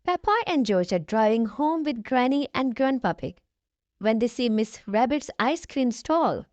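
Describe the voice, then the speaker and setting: A woman's voice: a little high, animated, a little slow, a little mellow, no room sound. A woman reading a storybook aloud expressively for young children, speaking to them directly as the host.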